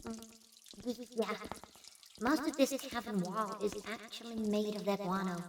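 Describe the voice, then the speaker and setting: A woman playing a subdued, guarded field researcher in a sci-fi audio drama, speaking in a halting, matter-of-fact way. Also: medium pitch, lightly acted. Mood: distracted, matter-of-fact. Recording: echoey, distant, faint noise.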